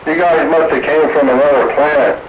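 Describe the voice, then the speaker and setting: Man, casual radio hobbyist chat, roomy sound, clearly noisy transmission. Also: a little high, fairly even intonation, fairly quick, lo-fi sound.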